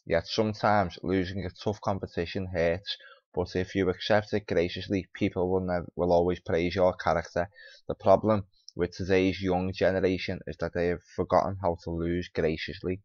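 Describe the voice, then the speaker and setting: A man's voice: sits low, little pitch movement, moderate pace, a little mellow, no room sound. A man hosting a video commentary, reading an article aloud with off-the-cuff remarks and stumbling over words. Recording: dull lo-fi mic, dry.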